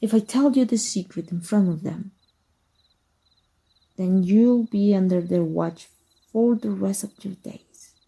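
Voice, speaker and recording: A woman from Mexico voicing a scheming former magic teacher in an ASMR roleplay, speaking softly in a teasing half-whisper. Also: medium pitch, measured pace, vocal fry, pauses often, slightly rough. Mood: probing, wary. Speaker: voice actor.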